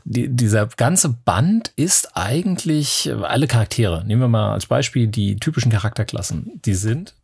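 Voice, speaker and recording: A man's voice: medium pitch, lively intonation, moderate pace, vocal fry, hardly any pauses, a little mellow, slightly rough. A man in his forties talking through a tabletop game book in a casual panel discussion, stopping now and then to search for words. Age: 40s. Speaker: podcast panelist.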